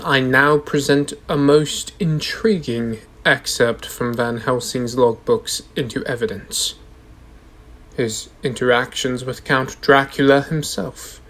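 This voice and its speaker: A man's voice: medium pitch, steady tone, slow, fairly bright tone. A young man playing a solemn, formal courtroom orator who reads dramatically in a radio drama. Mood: solemn, ominous. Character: solemn courtroom orator reading a dread-filled journal. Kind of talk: scripted radio drama narration.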